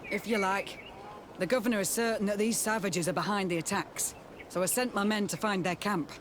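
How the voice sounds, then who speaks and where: A high-voiced military captain from a video game , played by a man, worn out and bitter. He talks bluntly and with sardonic bite. Clear outdoor noise runs behind the voice. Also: fairly even intonation, fairly quick, natural voice. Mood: resigned. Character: weary, embittered male outpost captain, loyal to his men. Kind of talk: video game cutscene acting.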